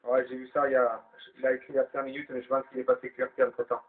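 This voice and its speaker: A man's voice: medium pitch, flat delivery, quick pace. A man chatting casually over CB radio, thinking aloud as he passes the turn to other operators. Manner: informal, colloquial, thinking aloud. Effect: CB radio transmission. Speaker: amateur CB radio operator leading a round of calls. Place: CB radio link in FM. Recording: tinny narrow-band CB radio.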